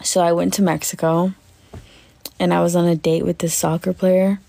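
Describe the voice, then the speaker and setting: A woman in her twenties chatting casually on a livestream, lying in bed and answering comments with lots of fillers, in a voice she calls deep. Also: flat delivery, moderate pace, creaky, warm tone, dry recording. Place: bedroom, lying on a bed indoors. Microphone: phone at arm's length.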